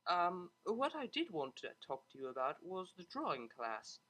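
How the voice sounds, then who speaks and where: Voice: medium pitch, wildly swooping pitch, normal speed, a bit bright. A narrator voicing a refined young female pony cellist who is prim and a little awkward, speaking formally and hesitantly in an audio storybook. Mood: apologetic, awkward. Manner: proper, hesitant, formal wording.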